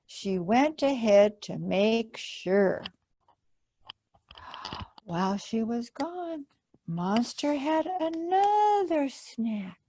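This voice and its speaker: A woman's voice: fairly high pitch, sing-song, drawn-out words, long pauses, background noise. A woman hosting a children's storytime, reading a picture book aloud expressively and explaining it simply to young listeners. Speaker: library storytime host and reader.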